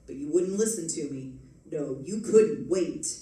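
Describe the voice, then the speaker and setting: A woman's voice: deep voice, lively intonation, unhurried, mellow. Woman playing a wry old police sergeant, echoey. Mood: grave, accusing. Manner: sardonic, slangy, interrogating. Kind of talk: old-time radio detective drama acting. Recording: echoey, distant stage recording.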